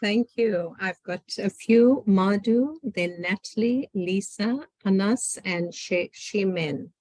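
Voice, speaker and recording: Brief: woman moderator, brisk and friendly, dry close sound, video call. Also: mid-range voice, darkish tone, no echo, rough recording. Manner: brisk, friendly, calls on people. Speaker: meeting moderator. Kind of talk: moderating an online session.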